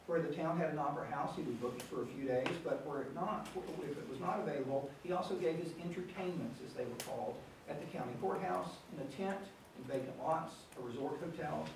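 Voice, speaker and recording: An older man giving a keynote lecture, reading from notes at a measured pace with small restarts, in a conference room. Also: on the high side. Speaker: keynote speaker. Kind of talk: academic lecture read from notes. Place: conference room with large screen. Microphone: far camera, no mic seen. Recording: very echoey room from far off, noisy.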